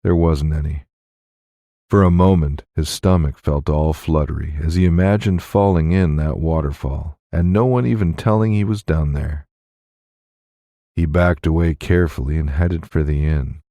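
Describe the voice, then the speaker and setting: A man narrating scripted fiction as an audiobook, in a measured storytelling manner. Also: very deep voice, warm tone, no echo, studio quality.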